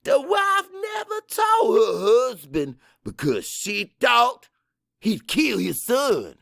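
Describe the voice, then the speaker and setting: A man's voice: very high-pitched, sing-song, slow, gravelly, bright, forceful tone, no room sound, studio quality, pitched above the performer's own voice, heavily acted. A man voicing a fiery, combative old Cajun man for an audiobook, emphatic and folksy, mixing French into his English. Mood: insistent storytelling.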